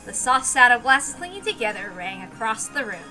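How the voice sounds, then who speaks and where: A woman's voice: a little high, very animated, normal speed, pushed and bright, faint music behind, rough recording. A woman reading third-person narration from a visual novel as a story reader.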